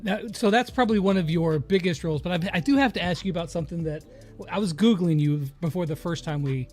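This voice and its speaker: A man with a notably high voice conducts a casual interview. He talks informally, as in a friendly chat between two people. Some music sits behind him. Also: fast talker, a little mellow.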